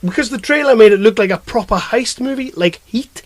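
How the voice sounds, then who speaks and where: A man's voice: extremely high pitch, brisk, dry recording. A man hosting a podcast, chatting casually about films in a blunt, sweary, ranting way. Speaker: podcast host reviewing films. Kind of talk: casual chat.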